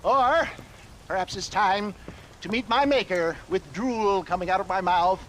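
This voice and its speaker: An actor playing an elderly theatrical male con man in a video game cutscene, with flowery speech, frequent chuckles and drawn-out pauses. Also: sits high, fairly bright tone, slight background noise. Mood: jovial.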